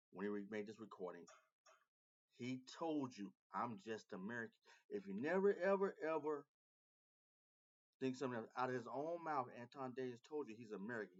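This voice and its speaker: A man's voice: mid-range voice, muffled recording. A man hosting a reaction video, commenting on a clip with emphatic, repetitive casual talk.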